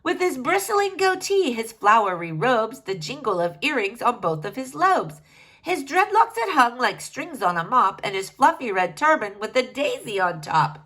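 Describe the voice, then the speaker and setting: A woman's voice: fairly high pitch, sing-song, moderate pace, a bit bright. A woman reading a rhyming children's story aloud, theatrically, with sing-song emphasis. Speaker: storybook reader.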